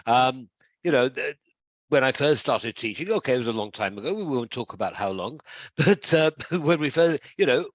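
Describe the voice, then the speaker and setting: A man's voice: medium pitch, animated, moderate pace, dry recording. A middle-aged man, an accountancy tutor, hosting a casual interview over a video call, full of restarts and fillers. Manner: hesitant and warm, lots of restarts and fillers. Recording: dry, slightly dull audio.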